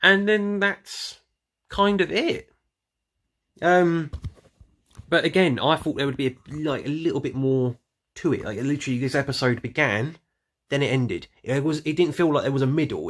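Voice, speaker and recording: A man's voice: mid-range voice, measured pace, a bit bright. A man talking casually and off the cuff as an online reviewer, rambling loosely and often trailing off or correcting himself. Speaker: YouTube reviewer. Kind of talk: casual unscripted audio drama review.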